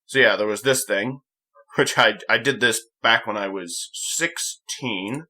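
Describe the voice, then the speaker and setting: A young man hosting a gaming channel, talking off the cuff in a rambling, halting way, often correcting himself. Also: on the low side, sharp tone. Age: young adult. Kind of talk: casual unscripted vlog commentary.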